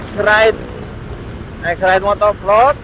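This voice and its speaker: A man's voice: high-pitched, poor audio. A man gives casual, unscripted vlog commentary while riding, repeating himself and searching for words. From Indonesia. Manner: halting, repetitive, searching for words. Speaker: motovlogger. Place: outdoors, riding a motorbike in traffic. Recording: steady engine and road noise. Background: motorbike and vehicle noise.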